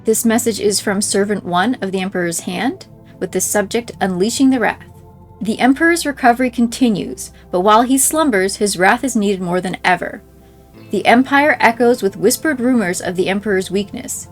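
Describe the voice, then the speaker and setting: A woman's voice: medium pitch, normal speed. A woman reading written in-game messages aloud as a scripted narration. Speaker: game playthrough creator reading in-game mail aloud. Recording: game music behind the voice. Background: game music.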